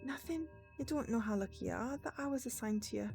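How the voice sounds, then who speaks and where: An earnest, anxious young female guard in a cyberpunk game, acted by a woman with her pitch raised. She sounds soft-hearted and pleading over faint music. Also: animated, fast talker, vocal fry.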